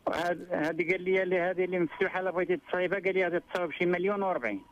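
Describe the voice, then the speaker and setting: A man's voice: high voice, rapid-fire. A Moroccan man in his fifties calling into a radio show, speaking hesitantly and politely. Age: 50s. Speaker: caller. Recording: dull phone line.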